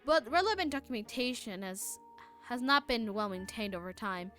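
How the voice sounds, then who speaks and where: A woman livestreaming a game with casual commentary, muttering asides and haltingly reading text aloud, correcting herself as she goes. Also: on the high side, expressive, sharp tone. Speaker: live game streamer. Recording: music playing behind the voice. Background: game music.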